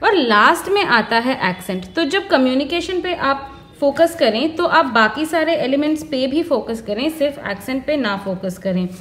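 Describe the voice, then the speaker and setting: High-voiced woman giving interview tips. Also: animated, moderate pace, a bit bright. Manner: earnest, instructive, lists points one by one. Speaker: career advice vlogger. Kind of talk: explanatory advice talk.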